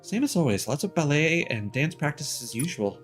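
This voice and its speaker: A competitive young dancer girl from a video game, played by a man. Her voice is lifted high, brisk and defensive, over faint music. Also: very animated. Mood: aloof but caring. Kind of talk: reading game dialogue aloud.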